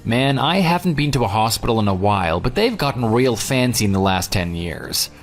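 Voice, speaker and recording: An actor playing a vengeful jilted ex-fiancé in an audio drama, with a sarcastic, taunting, smug delivery. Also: male voice, mid-range voice, big pitch swings, brisk, soft background music. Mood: mocking. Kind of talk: dramatized phone dialogue.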